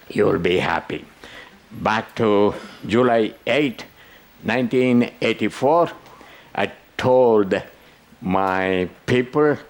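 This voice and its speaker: An older man leading a conference workshop, talking to the audience and teasing them with jokes. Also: lowish voice. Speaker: workshop presenter. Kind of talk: interactive conference workshop talk.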